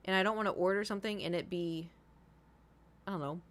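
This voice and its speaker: A woman's voice: mid-range voice, a little fast, a bit bright. A woman in her thirties chatting casually to livestream viewers, rambling informally, swearing freely and repeating herself for emphasis. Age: 30s. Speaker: livestream host.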